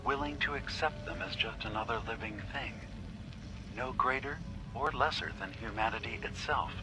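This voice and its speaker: An actor playing a calm, grave synthetic leader in a video game, speaking in a measured, solemn and deliberate way. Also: male voice, medium pitch, over music, lightly acted, poor audio. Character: grave, calm synthetic man leading his kind. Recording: clear ambient noise behind the voice.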